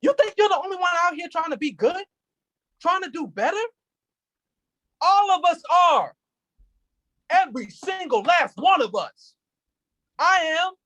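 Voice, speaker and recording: A man's voice: very high voice, animated, measured pace, long pauses, sharp tone, exaggerated voice. An actor playing an anguished, furious police officer who is grieving his cousin, in a staged play reading with an intense, impassioned delivery.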